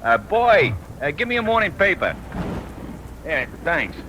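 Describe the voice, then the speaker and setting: An actor playing a gabby, streetwise taxi driver in a vintage radio crime drama, with a folksy, emphatic, slightly stammering delivery. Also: male voice, fairly high pitch, very animated, measured pace, lo-fi sound. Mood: matter-of-fact. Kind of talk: 1940s-50s radio crime drama acting. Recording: noisy old radio recording, rain over it.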